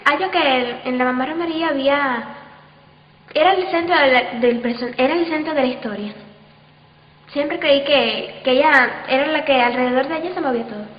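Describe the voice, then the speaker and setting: A radio actress giving an interview, a woman who speaks emphatically and haltingly. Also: sits high. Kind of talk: interview talk. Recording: echoey hall, muffled.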